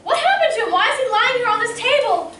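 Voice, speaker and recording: A woman playing an inquisitive, excitable heroine in an old-time space radio serial, with dramatic delivery. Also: extremely high pitch, fast talker, exaggerated voice. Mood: alarmed. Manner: eager, dramatic, exclaiming. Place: community theatre stage. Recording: echoey stage from far off, faint noise.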